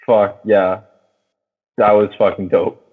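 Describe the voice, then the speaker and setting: A man co-hosting a podcast, discussing a movie casually with excitable, slangy talk and plenty of swearing. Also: fairly low pitch, slow pace, husky. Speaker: podcast host. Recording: thin phone-like band-limited sound.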